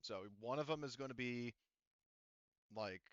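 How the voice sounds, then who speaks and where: A man's voice: mid-range voice, a little slow, a bit bright, clean tone, dry recording, dull audio. A man running a tabletop game as game master, explaining rules patiently with many fillers.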